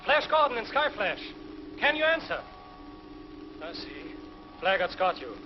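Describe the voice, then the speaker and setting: An actor playing an anxious, stern prison warden in a 1950s TV drama, speaking urgently and formally. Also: male voice, sits high, very animated, a little slow, slight background noise. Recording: dull lo-fi 1950s TV soundtrack.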